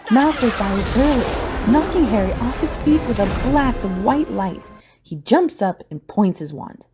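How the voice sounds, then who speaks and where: A woman's voice: fairly high pitch, expressive, a little slow, music in the background. A woman narrating a scripted audio drama as storyteller.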